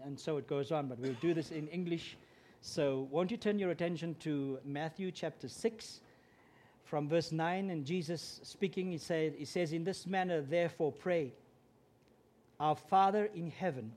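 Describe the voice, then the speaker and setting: Afrikaans-man preaching. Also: fairly high pitch. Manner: earnest, grows louder and more emphatic when preaching. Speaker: guest preacher. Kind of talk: sermon.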